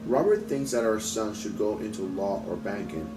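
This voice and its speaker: A man's voice: lowish voice, animated, moderate pace, recorded from a distance, close to an everyday voice. A haughty storybook matron, acted out by a man, prim, disdainful and easily flustered. The voice comes from a little way off in an echoey room, with music underneath. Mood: smug. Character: haughty wealthy matron, snobbish and fluttery. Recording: roomy echo, off mic, music behind.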